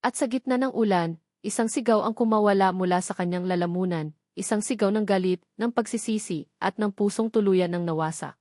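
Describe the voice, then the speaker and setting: Filipina lady reading a dramatic romance script, medium pitch, dry studio sound no echo. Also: brisk, mellow. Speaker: story narrator.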